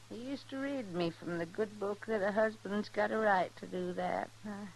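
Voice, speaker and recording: An actress playing a middle-aged, long-abused rural wife in a radio western, plain and quietly resolute, with a rural American accent. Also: female voice, on the low side, vocal fry. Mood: weary, plain. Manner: plain, unhurried, folksy. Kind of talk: 1950s radio western acting. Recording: noisy old radio drama recording.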